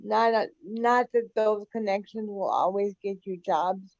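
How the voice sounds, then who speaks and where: A woman speaking slowly with pauses between words on a video call. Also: medium pitch, gravelly, a little mellow, no room sound, dull audio.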